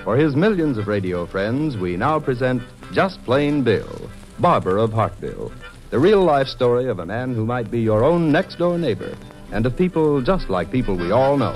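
A man narrating an old-time radio soap opera as its announcer, in a measured, dramatic scripted style. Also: mid-range voice, sing-song, low-quality recording. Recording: vintage radio broadcast. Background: music.

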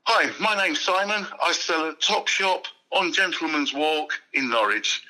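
A jolly man with a very bright, forceful voice, pitched a little high. He chats casually about his trade and breaks into a rhyming, sing-song sales patter with hearty laughs. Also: measured pace, rough recording. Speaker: magazine street vendor. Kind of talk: casual storytelling.

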